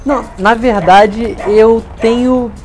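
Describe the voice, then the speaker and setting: A man in his twenties with a softened Rio de Janeiro accent and hushing s sounds, explaining things in a casual interview. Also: extremely high pitch, steady tone, slow, soft background music. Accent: softened Rio de Janeiro Brazilian. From Brazil, Rio de Janeiro. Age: 20s. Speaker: interview guest, leader of a community media project.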